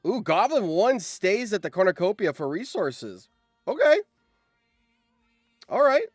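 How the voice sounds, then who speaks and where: A male streamer with a fairly high-pitched, very lively voice gives excitable live commentary. He reads results out at an unhurried pace and reacts in an animated way, prone to surprised exclamations, over background music. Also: no echo, studio quality. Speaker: streamer host.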